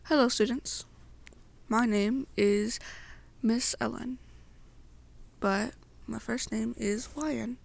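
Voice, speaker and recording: Slow, creaky-voiced young woman narrating ASMR. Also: on the high side. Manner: halting, pausing between short phrases. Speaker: amateur roleplay narrator. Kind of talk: ASMR story narration addressed to the listener.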